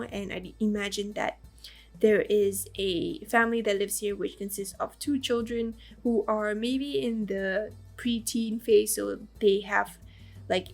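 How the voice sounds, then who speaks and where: A woman with a raspy voice, hoarse from the flu, narrating a game build in casual, rambling voice-over. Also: mid-range voice. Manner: rambling, lots of fillers and small laughs.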